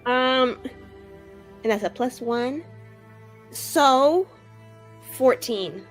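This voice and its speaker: A woman's voice: high-pitched, lively intonation, very slow. A woman chatting casually as a player in a tabletop roleplaying game, improvising as she talks. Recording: music behind the voice.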